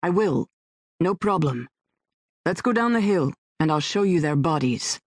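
A woman narrator voicing a tough, sardonic male rescuer who speaks in a blunt, gruff, curt way. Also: fairly high pitch, expressive, measured pace, no echo, clean studio sound. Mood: commanding.

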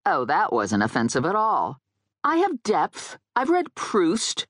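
A woman's voice: low voice, big pitch swings, unhurried, husky, dry recording, clean studio sound, exaggerated voice. A woman voicing a sassy, sarcastic grown female heroine of a comic paranormal novel. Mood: indignant, sarcastic. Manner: sarcastic, mock-offended.

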